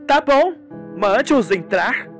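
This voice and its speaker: A man's voice: very high-pitched, lively intonation, takes it slowly, soft background music, pitched above the performer's own voice, exaggerated voice. A man voicing a young, wealthy woman who runs a ranch, defensive and flustered, in a radio soap. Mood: surprised. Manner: startled, questioning.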